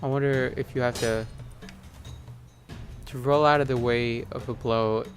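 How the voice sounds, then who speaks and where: A man hosting a gaming video with casual, low-key commentary, at times reading game text aloud. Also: steady tone, measured pace. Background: game music.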